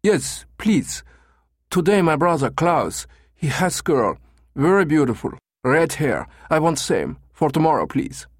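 A man voicing an eager, clumsy German tourist in halting broken English with a thick German accent. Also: on the high side, big pitch swings, dry recording, clean studio sound, pitched above the performer's own voice.

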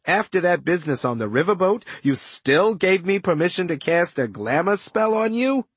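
Guy doing a smug chatty villain magician, fake-friendly wise guy, swoopy pitch, kinda husky. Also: very animated, moderate pace, no room sound, exaggerated voice. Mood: mocking, smug. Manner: glib, condescending, casual wise-guy patter. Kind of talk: audiobook character dialogue.

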